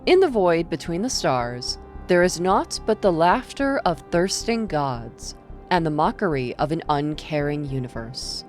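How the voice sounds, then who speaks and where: A woman's voice: sits low, big pitch swings, a little slow, faint music behind. A woman playing a wry female archivist of eerie secret files in a scripted audio drama. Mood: grave.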